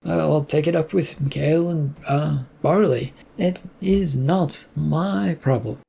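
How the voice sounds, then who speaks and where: A narrator voicing a calm, detached male worker who is quitting, in a laid-back, hesitant manner for an audio story. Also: medium pitch, slow pace, vocal fry, breathy edge, exaggerated voice.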